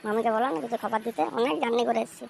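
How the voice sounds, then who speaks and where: A woman's voice: fairly high pitch, steady tone, very fast, creaky. A woman voicing a cheeky, hungry, scheming young boy in a comic cartoon, pleading and sly. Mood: wheedling. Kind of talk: amateur comic cartoon dubbing. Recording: faint background noise.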